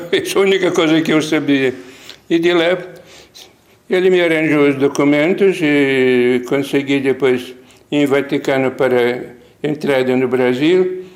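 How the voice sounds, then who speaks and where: Older Lithuanian-accented man, halting. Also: a little high, fairly even intonation. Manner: halting, rambling with many restarts. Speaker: missionary priest. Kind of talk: interview recollection.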